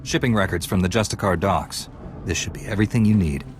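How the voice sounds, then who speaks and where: An actor playing a calm, resolute knightly hero in a video game, speaking directly. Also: male voice, low-pitched, quick pace, background noise, natural voice. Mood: composed. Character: resolute, composed male Jedi knight hero.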